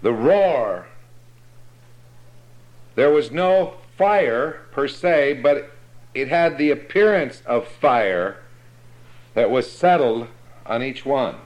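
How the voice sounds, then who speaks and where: Male preacher for a Bible class, unhurried and explanatory, mid-pitched. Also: lively intonation, muffled recording. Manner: slow, explanatory, checks understanding with the listeners. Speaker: Bible teacher.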